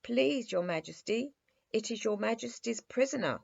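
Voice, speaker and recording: A woman voicing a stern, self-important male toy captain with a gruff manner, in a children's storybook reading. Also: fairly high pitch, lively intonation, normal speed, highs cut off, voice pushed up, full character voice. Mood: deferential, aggrieved.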